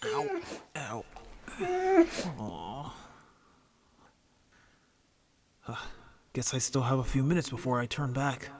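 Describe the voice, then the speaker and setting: A man's voice: mid-range voice, wildly swooping pitch, measured pace. A man playing a young, injured werewolf in an audio roleplay, sullen, defiant and stubborn. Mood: groggy, pained.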